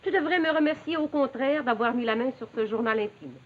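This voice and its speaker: A woman's voice: sits high, fairly quick, natural voice. An actress playing a self-righteous, prying lady of the house with refined, formal diction in a period television drama. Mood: defensive. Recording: thin, narrow, hissy 1950s television soundtrack.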